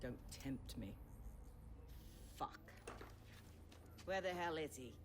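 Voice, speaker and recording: A woman's voice: sits low, lively intonation, slow pace, background noise. A woman playing a hard, calculating British intelligence team leader in a video game, speaking in a curt, controlled way. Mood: curt, tense. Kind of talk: video game cutscene acting. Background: music.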